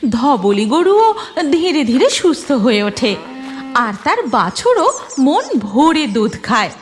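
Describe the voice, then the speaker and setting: A woman's voice: sits high, very animated, takes it slowly. A woman narrating a cartoon story in a dramatic scripted style.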